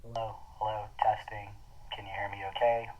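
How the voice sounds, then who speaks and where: A man's voice: lowish voice, level tone, brisk, darkish tone, rough recording. A man doing a casual mic check, heard through a walkie-talkie radio speaker.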